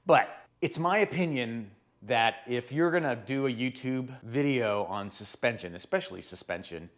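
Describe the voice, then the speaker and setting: A man with a hoarse, sore-throat voice, casually explaining car repair steps as host of his own channel. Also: mid-range voice. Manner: chatty, rambling, explains step by step. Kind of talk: casual DIY car tutorial.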